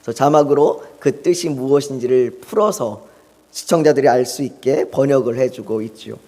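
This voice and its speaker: Pastor preaching in a reverberant hall. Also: male voice, mid-range voice, moderate pace. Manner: calm storytelling, rising in emphasis when urging. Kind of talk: Sunday sermon. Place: church sanctuary. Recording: long reverb of a church hall.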